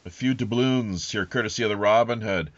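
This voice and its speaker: A low-pitched man hosts a pirate-themed podcast in a rambling, folksy manner. He improvises as he goes, dropping playful nautical lingo. The sound is dry and a little dull, like a lo-fi online stream. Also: fairly quick, no echo. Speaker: podcast host.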